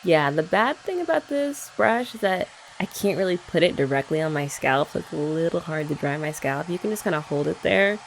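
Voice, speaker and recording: A young woman with a lowish voice and a gravelly edge talks through an ASMR girlfriend roleplay. She explains each step in a relaxed, chatty way, with playful teasing mixed in. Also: fairly quick, hardly any pauses, slight background noise, poor audio. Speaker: ASMR creator.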